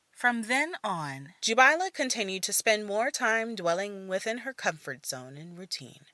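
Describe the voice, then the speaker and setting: A woman narrating a fantasy audio story in a measured, soft-spoken manner. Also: lowish voice, no echo. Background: faint music.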